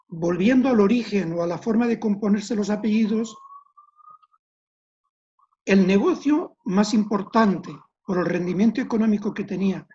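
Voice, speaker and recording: A woman giving an explanatory history lecture as a webinar guest, speaking in a measured way with pauses and restarted words. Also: medium pitch, mellow, highs cut off. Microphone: computer mic on a video call.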